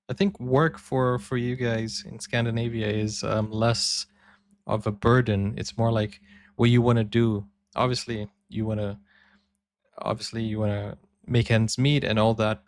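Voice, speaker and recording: A man hosting a reaction video, commenting casually and thinking aloud with fillers. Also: mid-range voice.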